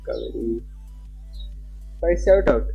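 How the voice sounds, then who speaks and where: A man's voice: mid-range voice, expressive, slow, gravelly, dark tone, slightly rough. Dull-sounding male streamer, native Nepali speaker. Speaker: live streamer. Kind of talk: casual livestream chat. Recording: dull lo-fi stream audio, music behind. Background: background music.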